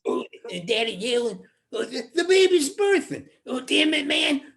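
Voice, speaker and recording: An actress playing an excitable, fond rural sister with a Southern US accent and a folksy, exclamatory manner in a stage play. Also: female voice, high-pitched, normal speed, creaky, full character voice. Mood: fond, animated.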